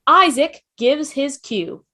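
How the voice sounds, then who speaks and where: A woman's voice: high-pitched, expressive, slow pace, pushed and bright. A woman reading scripted stage directions as narrator in an online table read. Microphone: video call.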